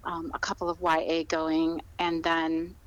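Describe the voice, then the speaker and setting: A woman's voice: sits low, monotone, measured pace, no room sound, highs cut off. A middle-aged woman chatting casually as an interview guest, often repeating her words as she goes. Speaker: podcast guest, author.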